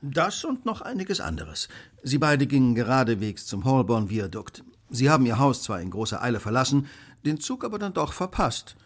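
A man's voice: medium pitch, very animated, moderate pace. An actor playing a brilliant, smug Victorian detective in a German radio play, precise and lecturing in his delivery. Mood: analytical, assured. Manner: precise, self-assured, lecturing.

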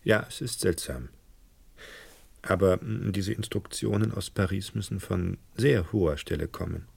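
An actor playing a hesitant, discreet man in a radio play, with a gravelly, husky voice and a reserved, halting delivery. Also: sits low, darkish tone, heavily acted. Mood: discreet, uneasy. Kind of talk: 1970s German radio play acting.

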